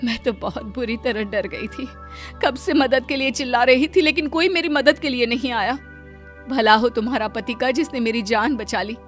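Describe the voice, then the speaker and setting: Emotional wealthy lady acted by a woman, humbled and earnest, cartoon drama, moderately high pitch. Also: normal speed, fairly bright tone, soft background music, natural voice. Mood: remorseful. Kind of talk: cartoon moral story acting.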